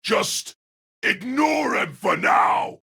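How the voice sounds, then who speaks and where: A man playing a brusque, commanding young fighter in an audio drama, with a raspy, gravelly, urgent voice. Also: sits high, expressive, extremely slow, exaggerated voice. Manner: forceful, urgent, barking. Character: brusque, commanding young male fighter, wounded but composed.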